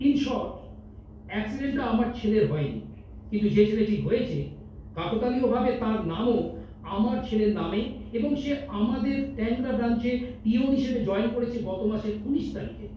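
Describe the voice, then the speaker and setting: Man acting anguished high-pitched boss, echoey hall. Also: dark tone, a little hiss or hum. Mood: anxious, torn. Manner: emotional, earnest, dramatic pauses. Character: troubled, soft-hearted company managing director, a father torn by conscience. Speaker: amateur stage actor in an audio drama competition. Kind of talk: staged Bengali audio drama acting. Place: multipurpose hall stage. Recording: echoey hall, distant mic, dull sound.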